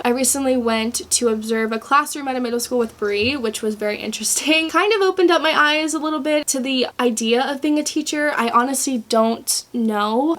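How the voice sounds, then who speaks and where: A woman's voice: a little high, fairly bright tone. A 22-year-old woman vlogger, congested from a cold, chatting casually through a life update and saying "like" a lot. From Florida.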